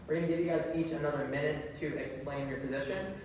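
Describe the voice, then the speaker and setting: A man's voice: fairly high pitch, steady tone, quick pace, a little mellow. A young man moderating a candidate forum in a casual, informal and slightly unsure way. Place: large echoing public hall. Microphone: distant microphone. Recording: echoey hall from far off, faint noise.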